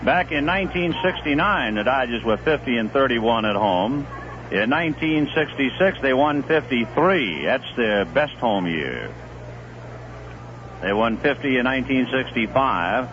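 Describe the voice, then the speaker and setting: Male baseball announcer, conversational and lively, old radio sound. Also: mid-range voice, measured pace. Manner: lively, conversational, reeling off statistics. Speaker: play-by-play announcer. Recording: muffled 1970s radio broadcast with hiss.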